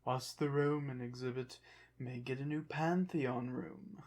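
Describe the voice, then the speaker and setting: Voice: mid-range voice, fairly even intonation, darkish tone, close to an everyday voice. An actor voicing a proud, touchy ancient Egyptian revived from the dead, speaking formally and guardedly in an ASMR roleplay. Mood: defensive, sulky. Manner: formal, haughty, guarded, halting phrases. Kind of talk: ASMR roleplay, one side of a dialogue.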